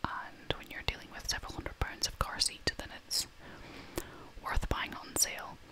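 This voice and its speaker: A young woman whispering in an unhurried, informal, chatty way, as in an ASMR shopping haul. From half Scottish, half American. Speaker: vlogger showing purchases.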